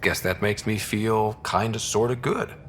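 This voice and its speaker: An actor playing a young, easygoing and wry explorer hero in a video game, with a husky voice. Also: male voice, on the low side, a little slow, in a large hall, a little hiss or hum, lightly acted. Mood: gentle, reassuring.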